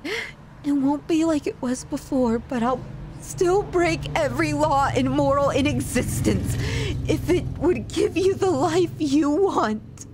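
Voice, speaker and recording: A woman playing an obsessive, possessive yandere lover in a dramatic ASMR roleplay, pleading intimately and haltingly with the listener. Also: high-pitched, lively intonation, noisy background. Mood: tearful pleading.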